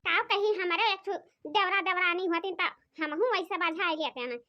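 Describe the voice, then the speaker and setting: Woman doing a super high shrill awadhi village wife, always griping, kinda muffled lo-fi sound. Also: normal speed. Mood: grumbling. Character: gossipy, complaining young village wife. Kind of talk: cartoon comedy voice acting. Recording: dry, muffled lo-fi.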